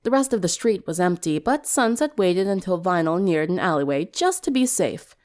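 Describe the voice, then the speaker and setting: A woman's voice: on the low side, expressive, normal speed, a little mellow. A woman reading fan fiction aloud as dramatic story narration.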